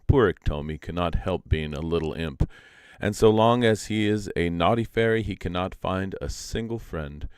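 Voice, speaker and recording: A man with a low voice narrates a storybook aloud. He is measured and deliberate, with expressive delivery, and the sound is close and dry. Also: smooth, no room sound.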